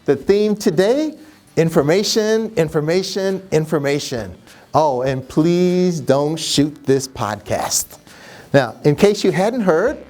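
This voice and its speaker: Male podcast host for scripted commentary, mid-pitched, punching key words and posing rhetorical questions, over faint music. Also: a little mellow.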